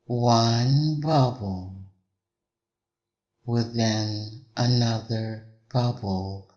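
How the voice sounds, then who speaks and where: A man delivering a hypnotic induction in a measured, deliberate way, with long pauses between short phrases. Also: mid-range voice, darkish tone, dull audio.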